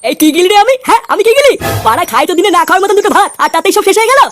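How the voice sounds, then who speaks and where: A man voicing a bullying, grumbling middle-aged father in a comic cartoon, scolding and blustering in a pitched-up voice. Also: animated, rapid-fire, music in the background. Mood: irate. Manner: scolding, blustering, foul-mouthed.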